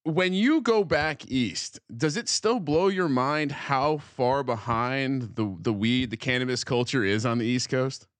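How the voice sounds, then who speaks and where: A man's voice: mid-range voice, measured pace, hardly any pauses, dry recording. A man from New Jersey co-hosting a casual podcast, talking in a chatty, wordy, digressive way. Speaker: podcast co-host.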